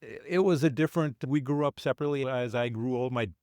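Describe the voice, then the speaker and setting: An older man whose first language was Finnish recalls his family history in a plain, middle-pitched voice. He talks without hurry, often backing up to correct himself. The sound is close and dry, with no room around it. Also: a little mellow, no echo. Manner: unhurried, with halting restarts and self-corrections. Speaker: guest. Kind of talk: reflective storytelling interview.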